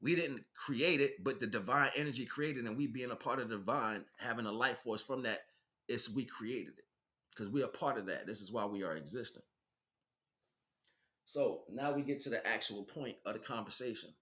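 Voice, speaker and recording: Guy doing an off the cuff spiritual lecture, bright punchy mid-pitched voice, preachy, repeats himself for emphasis. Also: moderate pace. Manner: emphatic, preaching with repeated phrases and rhetorical questions. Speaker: spiritual teacher.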